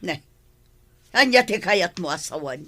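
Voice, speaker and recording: A woman's voice: lowish voice, lively intonation, unhurried. Bossy Ilocano grandmother, swooping and scolding. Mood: indignant. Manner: sharp-tongued, exclamatory. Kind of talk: Ilocano radio drama acting.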